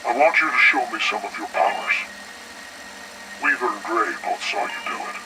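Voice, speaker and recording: A man playing a calmly menacing facility director interrogating a captive in a game audio log, with measured, condescending delivery. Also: mid-range voice, background noise, natural voice. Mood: smug, coolly insistent. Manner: measured, condescending, veiled threats.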